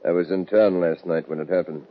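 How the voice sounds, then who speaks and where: A male actor as a deep-voiced, protective rancher. He talks plainly and sparingly in an old radio play, with tinny vintage sound. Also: fairly even intonation, brisk, close to an everyday voice. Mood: sober, regretful. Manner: plain, subdued, laconic. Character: gruff, protective, plain-spoken older rancher uncle. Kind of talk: 1950s radio western acting.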